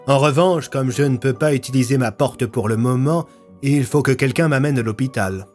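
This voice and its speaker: A polite magician in an audio story, played by a man. He speaks at a medium pitch with a composed manner, faint music behind him. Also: normal speed, darkish tone, close to an everyday voice.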